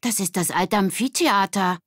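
A woman's voice: mid-range voice, normal speed, a bit bright, dry recording, clean studio sound, voice pushed up, lightly acted. A woman voicing an enthusiastic, friendly schoolboy with an upbeat, persuasive manner in a children's audiobook. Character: enthusiastic, friendly schoolboy showing his discovery.

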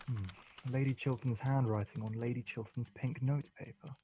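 A man's voice: medium pitch, steady tone, moderate pace, warm tone, dull audio, slight background noise, lightly acted. An actor playing a vain, idle English lord in his thirties, languid and witty, in a period comedy radio play. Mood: musing.

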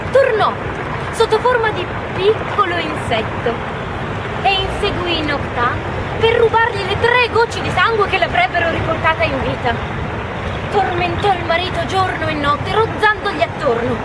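A young woman telling a fairy tale live in a theatrical, projected way, outdoors in a city square. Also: very high-pitched. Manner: projected, dramatic delivery to an open square. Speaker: storyteller. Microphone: camera a few metres away. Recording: distant mic, loud urban street noise. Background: traffic and people outdoors.

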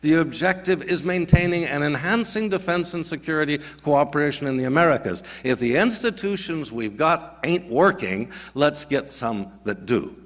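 An older man with a somewhat high, very lively voice speaks emphatically at a conference podium, with plain colloquial turns. His words echo with a long reverb through a large hall. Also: normal speed, hardly any pauses. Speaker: panel speaker, scholar. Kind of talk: conference speech. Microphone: podium microphone.

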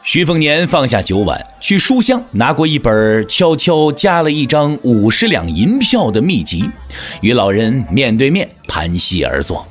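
A man narrating an audio drama as scripted storytelling, in a measured, theatrical manner. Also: mid-range voice, very animated, faint music behind.

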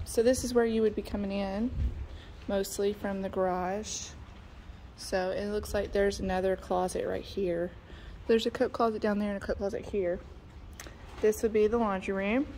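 Slow, gravelly woman on a phone. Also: medium pitch, a little mellow, slight background noise. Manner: chatty, thinking aloud with many ums. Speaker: real estate agent. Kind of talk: casual walkthrough video. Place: house under construction, indoors and in the yard. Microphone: phone held by the speaker.

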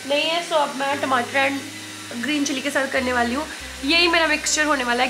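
Lady doing casual cooking vlog chat, really high voice, noisy kitchen, bits of english mixed in. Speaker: vlogger and home cook. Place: home kitchen, indoors. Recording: echoey kitchen with clear appliance noise.

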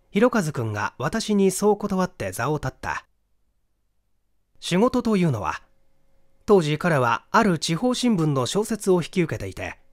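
A man narrates a mystery story like a stage performer, expressive and dramatic. His pitch sits a little high and moves around a great deal. The recording is dry and close, with hardly any room in it. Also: dry recording. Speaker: audiobook reader, stage actor.

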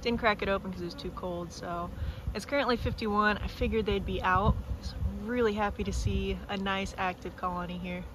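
A young woman chatting casually as she films her farm on a phone held at arm's length, outdoors, sometimes talking to her chickens in a soft coaxing voice. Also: mid-range voice, steady tone, a little fast, heavy background noise. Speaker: homestead vlogger. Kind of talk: casual vlog narration. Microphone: phone camera held at arm's length. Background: clucking chickens and roosters.

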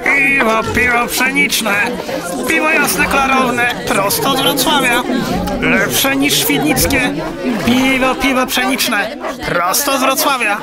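A man's voice: extremely high pitch, slow. A man playing a boastful beer seller in an audio story, repeating his hawking calls. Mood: boastful, loud selling. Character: boastful male beer seller, market crier. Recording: loud market crowd and music.

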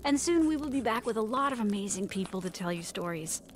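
A woman playing a fussy, chatty castaway in a comedic audio drama, expressive, breezy and rambling. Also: mid-range voice, quick pace, slight background noise, lightly acted. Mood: chatty, confiding. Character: fussy, chatty woman stranded in another world. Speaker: podcast narrator character.

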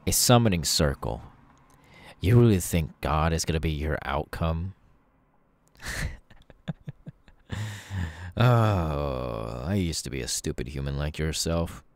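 A man's voice: rumbling low, vocal fry, no echo, full character voice. A man playing a smug, sadistic masked killer in an ASMR audio roleplay, taunting in a menacingly casual, mocking way. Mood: scornful. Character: sadistic masked young male killer, smug, gleeful cult henchman.

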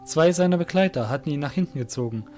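Male narrator, scripted fantasy storytelling, fairly high pitch, faint music underneath. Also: expressive, fast, a little mellow.

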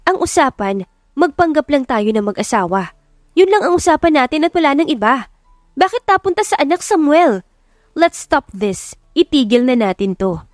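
A woman's voice: sits high, very animated, normal speed, no echo, clean studio sound, soft background music, pitched above the performer's own voice. Woman acting a proud, impulsive young woman. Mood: angry.

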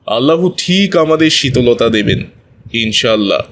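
A man's voice: mid-range voice, animated, a bit bright, a little hiss or hum. A man voicing a kind, wise village religious teacher in a children's cartoon, patient and instructive. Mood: kindly instructive. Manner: patient, didactic, measured phrasing.

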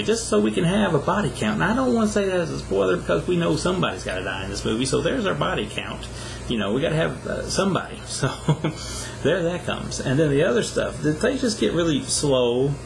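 A man's voice: a little high, gravelly, noisy background. A man in his thirties giving a casual, rambling, exasperated video review, talking conversationally into a camera indoors. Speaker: film reviewer. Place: small plain room indoors.

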